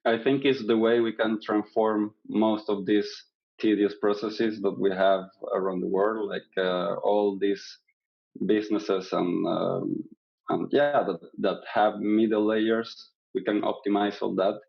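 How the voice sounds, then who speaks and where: Low gravelly man, lo-fi sound. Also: level tone, unhurried. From Venezuela. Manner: hesitant, many fillers and restarts, laughs lightly. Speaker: interview guest, candidate. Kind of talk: casual interview answers. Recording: dull lo-fi sound.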